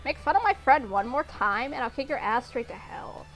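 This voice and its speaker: Woman reading a high-voiced, loyal magical schoolgirl. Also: big pitch swings, moderate pace, soft background music, poor audio. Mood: threatening. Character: loyal, protective schoolgirl with glowing magic powers. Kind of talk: amateur story character reading.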